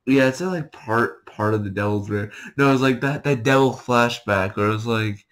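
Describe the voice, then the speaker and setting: A young man giving casual reaction commentary in an amused, halting way, often laughing through his words. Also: mid-range voice, fairly bright tone. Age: young adult. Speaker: reaction streamer. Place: small bedroom, indoors.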